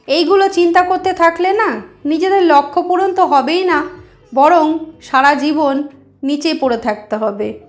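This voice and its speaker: A woman narrating a moral story as a channel host, explaining things directly to her listeners. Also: high-pitched.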